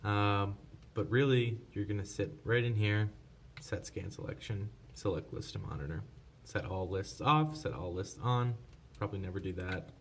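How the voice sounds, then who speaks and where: A man's voice: on the low side, normal speed, few pauses. A man presenting a casual hands-on product tutorial, explaining informally step by step without a script. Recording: clear background noise.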